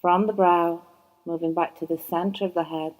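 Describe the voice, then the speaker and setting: A woman teaching yoga nidra, guiding a relaxation meditation in a soft, soothing voice, slow and unhurried with long pauses. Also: fairly low pitch, fairly even intonation, poor audio. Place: yoga training course.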